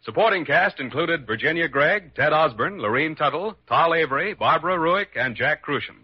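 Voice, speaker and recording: Male announcer for old-time radio drama, formal scripted delivery with animated intonation, dull vintage sound. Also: fairly high pitch, normal speed, breathy edge. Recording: muffled vintage radio recording.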